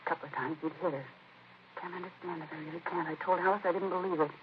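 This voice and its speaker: An American actress playing a distraught, disapproving, defensive grieving sister in a 1950s radio crime drama, speaking in a hesitant, confiding way. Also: female voice, fairly low pitch, fairly even intonation, very fast, lightly acted. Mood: agitated, recounting. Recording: muffled, noisy vintage radio recording.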